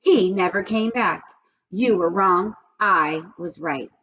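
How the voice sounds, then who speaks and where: A woman's voice: lowish voice, slow, fairly bright tone, slightly rough, dull audio, exaggerated voice. A woman voicing a stubborn, contrary schoolgirl from a children's storybook, blunt, whiny and insistent. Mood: accusing. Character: stubborn, sour, contrary schoolgirl who insists she's right.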